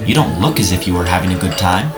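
A man's voice: low-pitched, expressive, fast. A young man playing a frank, gallant teenage boy in an audio play, blunt but courteous. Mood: earnest, gallant. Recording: music playing behind the voice.

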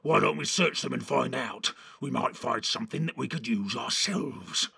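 Storybook orc soldier: harsh, hoarse-edged and tense, quarrelsome and impatient. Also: male voice, mid-range voice, expressive, moderate pace, sharp tone, no room sound, full character voice. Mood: questioning, grumbling. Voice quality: harsh, raspy. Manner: snappish, grumbling. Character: quarrelsome, bloodthirsty, impatient rank-and-file orcs. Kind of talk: audiobook character voice.

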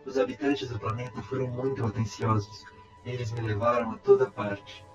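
A man playing a calm, courteous robot time traveler in an audio drama, speaking in formal, measured reports. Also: mid-range voice, close to an everyday voice. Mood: calm, factual. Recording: echoey, dull amateur sound, music behind.

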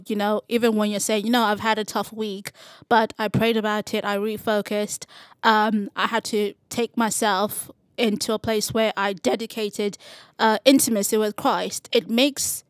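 A woman's voice: mid-range voice, dry recording. Earnest woman, reflective chat. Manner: earnest, reflective, with playful asides. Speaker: Bible study co-host. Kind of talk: casual Bible study chat.

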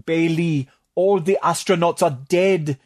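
Man voicing jittery fish-man, high, loud, moaning. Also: fairly even intonation, unhurried, no echo, studio quality, natural voice. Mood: anguished. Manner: frantic, whiny, moaning. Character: panicky gilled male sea creature.

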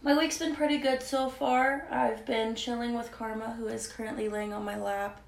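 A young adult woman co-hosting a casual chat podcast, with a slightly scratchy voice, playful and quick to laugh. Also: fairly high pitch, fairly even intonation, vocal fry. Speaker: podcast co-host, daughter. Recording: echoey room.

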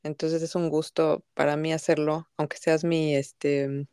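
A woman's voice: deep voice, flat delivery, a little slow, mellow, clean tone, no room sound, clean studio sound. A woman in her forties hosting a casual podcast conversation, talking hesitantly with many fillers. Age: 40s. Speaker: podcast host.

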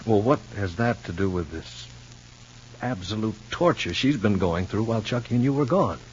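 An actor playing an earnest, concerned confidant in an old radio soap opera, with a halting, emphatic delivery. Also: male voice, on the low side, animated, darkish tone, natural voice. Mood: indignant. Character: earnest, concerned male confidant pleading for understanding. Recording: muffled old radio recording, noisy hum.

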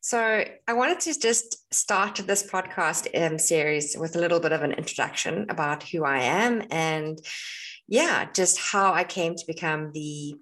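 A woman's voice: low-pitched, measured pace, fairly bright tone. A woman with a South African accent, hosting a solo podcast in a casual, conversational and explanatory way. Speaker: podcast host and astrologer.

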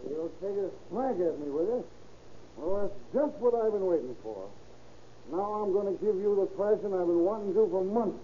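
An American actor playing a sponging, hard-drinking husband who wheedles and blusters in slang, in 1930s radio drama style. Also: male voice, sits high, lively intonation, moderate pace. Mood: belligerent. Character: sponging hard-drinking husband, whining, sly, belligerent when drunk. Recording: scratchy, tinny old radio recording.

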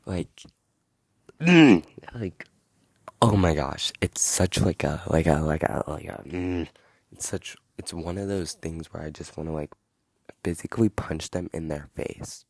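Slow, gravelly young man ranting. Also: low-pitched, expressive, a little mellow, no echo. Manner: rambling, filler-heavy, indignant. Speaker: podcast host. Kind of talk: casual storytelling monologue. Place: outdoors in wind.

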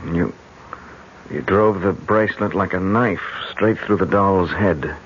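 An actor playing a wary, sceptical college professor, reserved in manner, in old-time radio drama style. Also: male voice, sits low, measured pace. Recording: muffled, hissy old radio recording.

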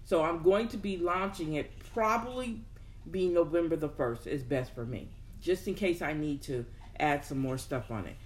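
Casting: motivational show host, mid-range pitch, informal, thinks aloud, leans on repeated words. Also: moderate pace, slight background noise. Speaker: host of a personal development show. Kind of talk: casual motivational talk to an audience.

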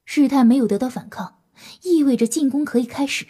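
A woman's voice: high-pitched, fairly quick, dark tone, husky, faint music behind. A woman narrating a scripted audio drama as a storyteller, in a dramatic style.

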